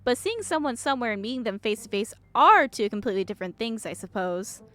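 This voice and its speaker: A woman's voice: a little high, very animated, fast, a bit bright, dry recording. A woman voicing a sweet, eager, chatty small-town cleaning woman in a game, gushing and folksy. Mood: friendly.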